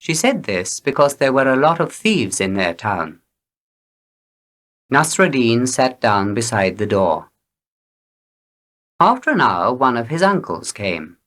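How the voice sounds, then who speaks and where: Slow, animated male storyteller. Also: medium pitch. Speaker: story reader. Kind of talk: scripted storybook narration for learners.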